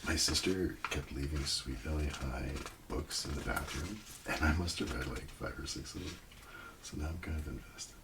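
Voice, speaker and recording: Very deep, low-key ASMR man. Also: normal speed, far from the mic, heavy background noise. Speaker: ASMR roleplay host. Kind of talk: ASMR, casual rambling roleplay.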